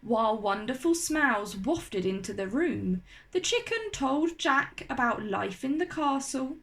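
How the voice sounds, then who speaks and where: A woman reading a children's storybook aloud as narrator, with expressive, deliberate delivery. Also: high-pitched.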